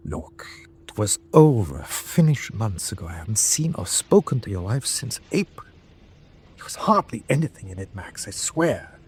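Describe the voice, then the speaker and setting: An actor playing a courteous but embattled submarine captain in his forties, formal and measured, in a wartime radio drama. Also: fairly low pitch, sing-song, darkish tone, slightly rough, exaggerated voice. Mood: guilty, pleading. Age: 40s. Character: forty-year-old German U-boat captain, courteous but embattled.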